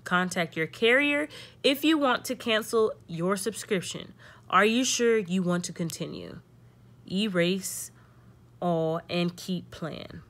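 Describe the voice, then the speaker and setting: A woman's voice: mid-range voice, animated, slow pace, fairly bright tone. A young woman hosting a casual step-by-step tech tutorial, thinking aloud and reading prompts in an informal way.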